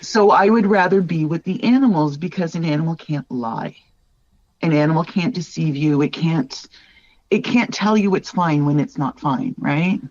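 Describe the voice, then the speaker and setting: A woman's voice: deep voice, lively intonation, normal speed, darkish tone, dry recording, highs cut off. A middle-aged woman from Northern Ontario, a wildlife artist speaking earnestly in a candid interview, often tagging on "like" and "right?". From Northern Ontario, Canada. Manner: earnest, reflective, lots of "like" and "right?". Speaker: wildlife artist, podcast guest.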